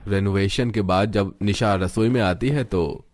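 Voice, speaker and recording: Low-voiced male story narrator. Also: a little slow, darkish tone. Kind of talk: scripted cartoon story narration.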